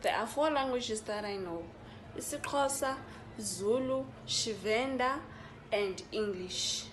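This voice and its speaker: A woman, a Xhosa speaker with a Xhosa accent, explaining greetings in several languages in a deliberate, list-like way. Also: on the high side, faint music behind, low-quality recording.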